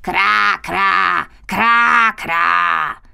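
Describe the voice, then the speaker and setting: A woman's voice: medium pitch, extremely slow, gravelly, bright, forceful tone, clear, resonant tone, exaggerated voice. A woman voicing a kindly, hesitant female crow in a children's audiobook, cawing and repeating her words emphatically. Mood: cawing, pondering. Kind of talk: children's audiobook character acting.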